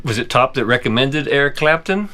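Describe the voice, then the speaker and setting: Friendly guy hosting an interview, bouncy pitch, repeats what the guest says. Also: lively intonation, brisk, sharp tone, slight background noise. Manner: friendly, echoes answers with laughs. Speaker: interview host. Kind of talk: casual interview. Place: home in the south of France.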